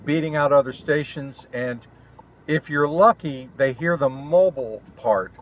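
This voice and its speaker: A man talking casually and explanatorily in a vlog recorded inside a car, in short phrases with pauses. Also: fairly high pitch, highs cut off. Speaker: vlogger and amateur radio operator. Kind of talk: casual vlog talk to camera. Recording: clear road and vehicle noise. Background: car and outdoor ambience noise.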